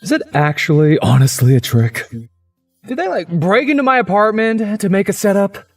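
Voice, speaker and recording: A man's voice: high-pitched, big pitch swings, normal speed, faint music behind, full character voice. A man playing a cocky, sceptical young man who narrates a visual novel game with dramatic flair. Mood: incredulous.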